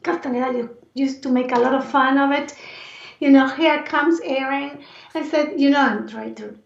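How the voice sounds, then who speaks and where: A woman from Argentina with an Argentine Spanish accent and a raspy voice, speaking earnestly and reflectively as an interview guest. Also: sits high, moderate pace. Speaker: interview guest, life and movement coach. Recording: roomy echo, distant mic, dull sound.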